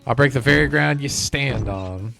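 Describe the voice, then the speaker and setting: A man's voice: medium pitch, moderate pace, vocal fry, close to an everyday voice. A man voicing a stubborn corporate robot land developer from a video game, with a drawling, folksy, self-important manner, over music. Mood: menacing, indignant. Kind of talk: reading video game villain dialogue aloud.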